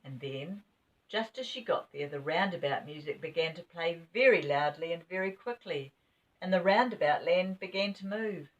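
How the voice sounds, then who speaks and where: A woman's voice: on the low side, lively intonation, normal speed. An older woman reading a children's story expressively, as a grandmother would. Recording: dull, distant home recording.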